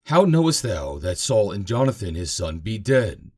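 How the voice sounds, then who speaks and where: A man's voice: on the low side, very animated, normal speed, mellow, husky. A man voicing a stern, emphatic ancient Hebrew king in a scripture reading. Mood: urgent questioning.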